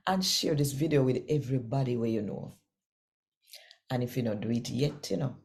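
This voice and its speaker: A woman with a Jamaican accent who hosts and reads scripture expressively, addressing listeners directly and deliberately. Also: rumbling low, gravelly, dark tone. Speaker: channel host and scripture reader. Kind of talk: expressive scripture reading and channel presenting.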